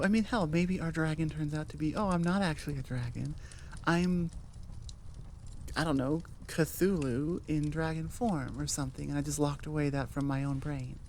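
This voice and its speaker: A woman's voice: lowish voice, darkish tone, a little hiss or hum. A woman creator chatting casually in an outro about her story, rambling and loose with many fillers.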